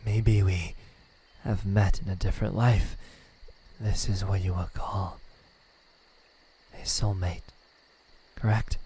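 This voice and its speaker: A man voicing a lonely, tender demon in love in an ASMR roleplay, speaking softly and haltingly, often near a whisper. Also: sits low, fairly even intonation, slight background noise. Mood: bashful, wondering. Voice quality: breathy, soft, half-whispered. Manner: hesitant, halting, stammering. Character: lovesick male demon, lonely, tender, self-doubting.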